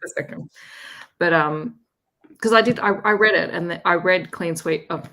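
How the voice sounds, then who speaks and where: Low, creaky-voiced woman chatting. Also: moderate pace, pushed and bright. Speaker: book club panelist. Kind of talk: casual book chat.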